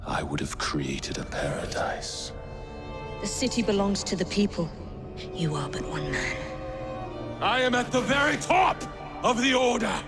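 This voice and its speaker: A megalomaniac Victorian villain, declaims in a high, wildly varying pitch. He is grandiose and desperate in game-cutscene style. Dramatic music swells clearly behind him. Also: huge pitch swings, a little slow, full character voice. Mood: desperate grandiosity. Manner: grandiose, impassioned. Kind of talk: theatrical video game villain acting. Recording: loud dramatic score behind.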